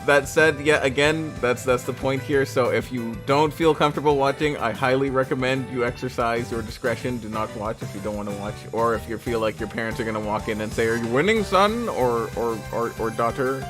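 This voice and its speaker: A man's voice: medium pitch, fairly even intonation, brisk, hardly any pauses. A man live-streaming a game, chatting playfully with his viewers and joking around. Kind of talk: casual live-stream chat. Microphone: desk microphone. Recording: music playing behind.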